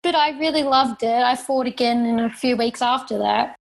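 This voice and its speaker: Australian woman, plain and halting. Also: on the high side, gravelly. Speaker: guest, professional fighter. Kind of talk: interview answers.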